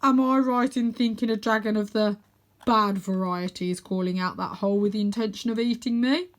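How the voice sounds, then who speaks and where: A woman reading aloud and voicing a calm, unflappable elderly grandfather who asks questions with dry politeness. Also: medium pitch, brisk, lightly acted.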